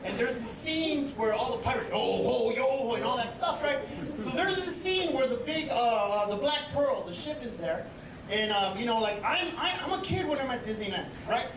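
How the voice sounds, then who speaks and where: High-voiced woman doing animated stand-up, echoey far-off stage sound. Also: normal speed. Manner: animated storytelling with loud exclaims. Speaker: stand-up comedian. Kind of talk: stand-up comedy set. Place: live comedy stage. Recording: echoey live venue from far off. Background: loud audience laughter and noise.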